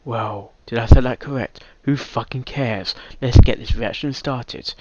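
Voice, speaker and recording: A man's voice: mid-range voice, a little slow, lo-fi sound, noisy background. A man giving casual, off-the-cuff reaction commentary with exclamations. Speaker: reaction video host.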